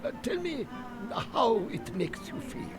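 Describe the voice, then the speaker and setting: An actor playing an eccentric quack psychiatrist in an adventure game, halting and clinical in manner. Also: medium pitch, very animated, music in the background. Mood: soothing, clinical. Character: quack psychiatrist, soothing yet jumpy and eccentric.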